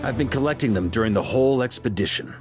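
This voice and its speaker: A man's voice: medium pitch, fast, loud music behind the voice, close to an everyday voice. A man voicing a confident, genial knight commander in a video game, assured and hearty as he explains. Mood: amused.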